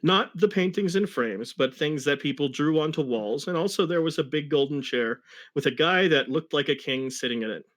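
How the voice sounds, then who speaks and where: Casting: male amateur narrator, high voice, very lively intonation, amused mock-dramatic storytelling. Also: moderate pace. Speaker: reader of a fan story.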